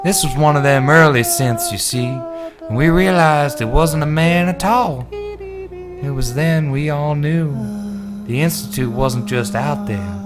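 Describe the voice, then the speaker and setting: A woman's voice: sits low, big pitch swings, loud music behind the voice, voice pushed down. A woman playing a folksy female survivor, recounting a horrific event in a colloquial dramatic reading. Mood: horrified, ominous. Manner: folksy, colloquial, drawn-out storytelling. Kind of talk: dramatic reading of a first-person eyewitness account.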